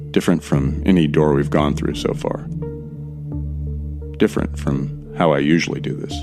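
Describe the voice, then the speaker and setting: A man's voice: very deep voice, vocal fry. A man playing an uneasy but determined, wry explorer, narrating a first-person horror audio drama in a confiding, colloquial way. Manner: descriptive, confiding, colloquial with swearing.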